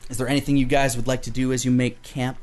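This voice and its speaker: A man's voice: mid-range voice, little pitch movement, quick pace, a little mellow. Guy game mastering, mid voice, easygoing improvised narration, chatty. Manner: conversational, easygoing.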